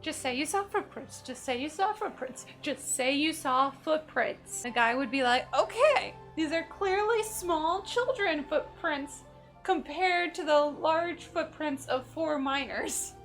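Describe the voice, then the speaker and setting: High-voiced wry woman gamer. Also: expressive, unhurried, fairly bright tone, soft background music. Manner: sarcastic, wry. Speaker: gaming video host. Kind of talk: casual, joking gameplay commentary.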